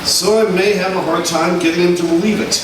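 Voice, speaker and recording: Earnest reporter male actor, reasonable and insistent, slightly high voice, vintage radio style, far-off mic in a reverberant space. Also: fairly even intonation, brisk, close to an everyday voice. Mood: earnest, reasoning. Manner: placating, insistent, explanatory. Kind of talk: old-time radio drama acting. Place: live stage recreation in a large hall. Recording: echoey hall from far off, noisy.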